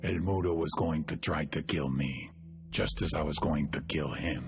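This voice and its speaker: A man playing a cold, calculating cartel boss, delivering a measured, menacing game monologue in a gravelly voice. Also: extremely low pitch, faint music behind. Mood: brooding, menacing.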